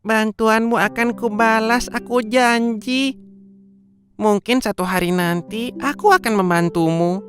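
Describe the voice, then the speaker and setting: A woman's voice: fairly high pitch, measured pace, lightly acted. A woman voicing a small, timid mouse in a children's cartoon fable, earnest and pleading. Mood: earnest promise. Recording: music behind the voice.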